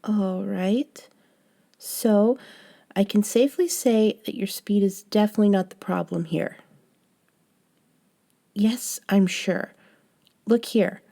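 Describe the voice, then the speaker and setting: A woman performs a young woman coaching her friend in an intimate audio roleplay. Her voice is mellow and soft, and she takes her time, measured and patient with a bossy, gently chiding edge. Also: medium pitch, creaky. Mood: patient, teasing. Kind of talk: scripted roleplay audio, one-sided conversation.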